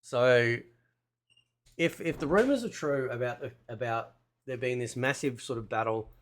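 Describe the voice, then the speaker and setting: A man's voice: mid-range voice, moderate pace, slight background noise. A man giving casual, conversational gameplay commentary in a laid-back, slangy way, like a video game content creator.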